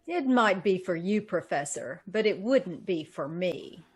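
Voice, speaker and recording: A woman playing a cold, scheming murderess in an old-time radio drama, speaking with calm, condescending menace. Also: on the low side, a little slow, slightly rough. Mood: cold, menacing.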